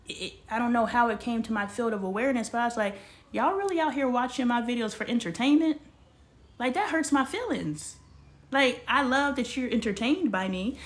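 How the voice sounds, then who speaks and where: A woman in her forties hosting a casual livestream, talking straight to her viewers in an animated, slangy way. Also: on the high side. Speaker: livestream host.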